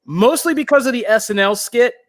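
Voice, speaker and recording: A man's voice: very high-pitched, brisk. An American man from Pennsylvania, talking casually and in a rambling way as a podcast host giving pop culture recommendations. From Pennsylvania, United States.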